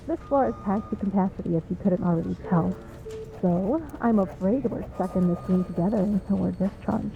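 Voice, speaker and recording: A cheeky female supervillain played by a voice actress in a comedy audio drama. Her voice sits at a middle pitch, and she teases with breezy, smug sarcasm, entirely at ease as a troublemaker. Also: fairly quick, creaky, phone-quality sound, natural voice. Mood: smug teasing. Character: cheeky, smug woman supervillain, frequent hospital patient. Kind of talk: comedic audio roleplay acting.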